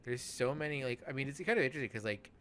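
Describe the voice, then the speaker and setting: A man gives improvised, casual game commentary in a rambling, halting way, often restarting and trailing off. His voice sits at an average male pitch, with steady noise audible behind it. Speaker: let's play podcast host.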